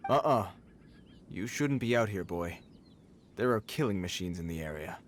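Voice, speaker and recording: An actor playing a cautious, dutiful soldier in a video game, formal in speech and a little awkward and hesitant. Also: male voice, deep voice, animated, normal speed, a little hiss or hum. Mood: stern warning.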